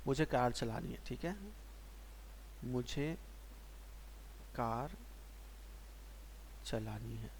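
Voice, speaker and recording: A man teaching English grammar in Hindi, patiently asking and answering his own questions and slowing down to spell out example sentences. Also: medium pitch, rough recording. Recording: faint hiss.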